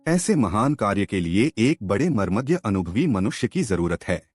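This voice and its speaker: A man's voice: fairly low pitch, big pitch swings, moderate pace, darkish tone, slightly rough, no room sound. A man voicing a principled young police inspector, modest, deferential and grave, in a narrated story. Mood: humble, grave. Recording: music under the voice.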